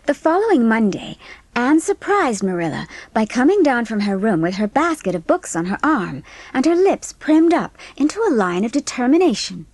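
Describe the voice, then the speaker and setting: Husky woman narrating a storybook. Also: on the high side, very animated, moderate pace, a little mellow, no room sound.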